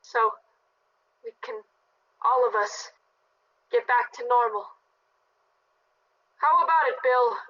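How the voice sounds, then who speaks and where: A woman's voice: fairly high pitch, slow, long pauses. A woman playing an anxious suburban neighbor who tries to make peace, in a radio play. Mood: eager, coaxing. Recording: dull lo-fi audio.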